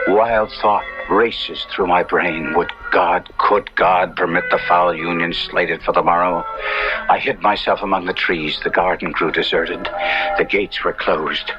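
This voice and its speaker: Tormented young cavalier, low pitch, melodramatic radio narration, prominent music behind. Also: male voice, dull audio. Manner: melodramatic, anguished. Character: anguished young cavalier trapped in a monstrous body. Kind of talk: 1970s radio drama first-person narration. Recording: band-limited 1970s radio with dramatic music.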